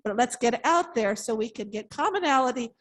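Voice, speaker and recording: A woman's voice: on the high side, lively intonation, a little fast, a bit bright. An elderly woman sharing heartfelt, unscripted thoughts at a church gathering in an earnest, emphatic way. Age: 70s or older. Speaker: congregation member responding to a message.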